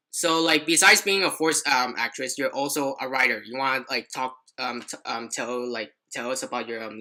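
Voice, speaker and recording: A man's voice: mid-range voice, pushed and bright. A man hosting a podcast in casual chat, rambling and leaning heavily on filler words like "like". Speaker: podcast host.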